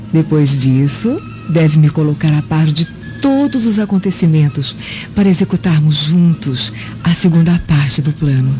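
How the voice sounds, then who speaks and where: A woman's voice: lowish voice, warm tone, natural voice. An actress playing a scheming, seductive Roman noblewoman in a radio drama, with an insinuating, calculating manner. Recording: muffled lo-fi audio with music.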